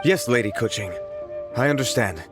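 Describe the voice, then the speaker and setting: A man's voice: mid-range voice, animated, normal speed, over music, natural voice. A man playing a deferential, dutiful clerk in a video game, polite, earnest and slightly flustered. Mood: obedient. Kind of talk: video game dub acting.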